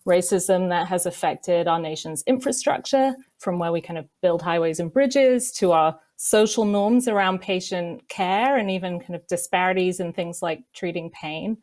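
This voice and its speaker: A woman in her thirties, a medical researcher on a panel, explaining in a measured way with frequent "kind of" fillers. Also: fairly low pitch, darkish tone. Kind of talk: panel discussion answers.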